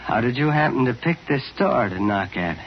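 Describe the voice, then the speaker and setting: An actor in an old-time radio drama playing a nervous, lonely, murderous department-store window dresser who stammers and hesitates. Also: male voice, mid-range voice, expressive, normal speed, natural voice. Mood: nervously solicitous. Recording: muffled, hissy vintage radio recording.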